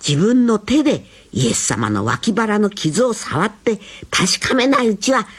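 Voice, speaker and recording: A woman's voice: medium pitch, sing-song, a little slow, husky, voice pushed up. A woman voicing a stubborn, doubting male disciple who talks in a scoffing, insistent way.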